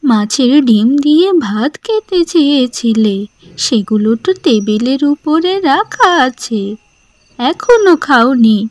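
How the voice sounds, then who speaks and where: A woman playing a caring middle-aged village mother-in-law in slow, melodramatic cartoon dialogue. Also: sits high, lively intonation, dry recording. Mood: tender concern.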